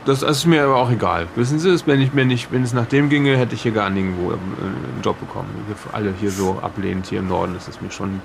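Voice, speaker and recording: A man's voice: fairly low pitch, fairly even intonation, quick pace, hardly any pauses. A man playing a chatty, nosy aging fisherman in an improvised audio drama, rambling and repeating himself. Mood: insistent, curious. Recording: clearly noisy background, vehicle-like ambience.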